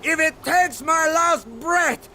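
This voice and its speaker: An actor playing an obsessive, deranged big game hunter, forceful and melodramatic, in an audio drama. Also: male voice, extremely high pitch, slow pace, a little hiss or hum, heavily acted. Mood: menacing, frenzied.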